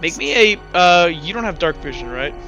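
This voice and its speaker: A man running a tabletop roleplaying game as game master, talking casually and haltingly as he thinks aloud. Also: high-pitched, big pitch swings, creaky, pushed and bright, faint music behind, poor audio.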